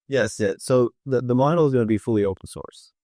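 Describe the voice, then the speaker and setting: A man giving informal interview answers as a company representative, in a hesitant, measured way. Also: mid-range voice, dark tone, no echo, clean studio sound.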